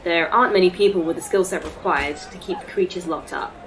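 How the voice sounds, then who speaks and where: An actress playing a polite, proud artificial intelligence tour guide in a sci-fi audio drama, speaking formally and precisely. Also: female voice, fairly low pitch, fairly quick, a little hiss or hum, natural voice. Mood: reassuring, brisk. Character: polite, proud female A.I. tour guide in lifelike human form. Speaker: actor in a sci-fi horror fiction podcast.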